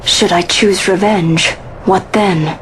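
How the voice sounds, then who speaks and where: An actress voicing a reserved, resolute young princess in a video game cutscene, speaking in an earnest, deliberate way. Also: female voice, fairly low pitch, fairly even intonation, fairly bright tone, slight background noise. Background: game score music.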